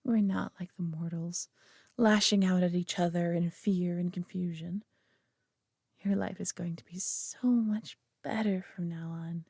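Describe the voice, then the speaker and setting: Woman voicing a prideful alien woman, possessive, condescendingly caring, unhurried, breathy whispers, close dry sound, roleplay audio drama. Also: on the low side, fairly even intonation, darkish tone, dry recording, heavily acted. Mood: condescending, soothing. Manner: condescending, coaxing, slow and deliberate, dropping into breathy whispers. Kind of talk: scripted roleplay audio drama addressed to the listener.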